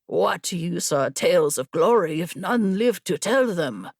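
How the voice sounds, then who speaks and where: Woman doing a weary old samurai scout guy, dour n cautious, slow talker, dry close sound, audiobook style. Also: fairly low pitch, expressive, creaky, darkish tone, breathy edge, dry recording, full character voice. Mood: stern, cautioning. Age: older.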